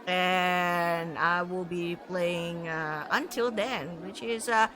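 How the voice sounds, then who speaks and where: A woman's voice: lowish voice, slow, a crowd in the background. A woman live streaming a visual novel, reading text aloud and chatting expressively. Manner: expressive, reacts with frequent laughter and exclamations. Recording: noisy stream with game sound behind. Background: game audio and ambience.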